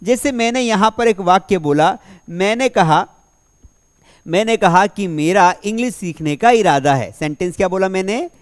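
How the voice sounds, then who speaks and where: A young man teaching spoken English, asking the class questions and slowly repeating example sentences word by word. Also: high-pitched. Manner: energetic classroom delivery, slow dictation of example sentences; asks rhetorical questions and repeats answers. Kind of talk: spoken English lesson in Hindi.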